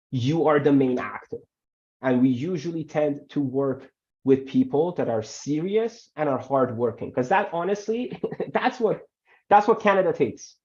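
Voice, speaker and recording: Man on a muffled video call. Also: mid-range voice. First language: Farsi. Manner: animated, repeats words and restarts when emphasizing. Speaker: immigration lawyer, guest. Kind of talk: webinar Q&A answers.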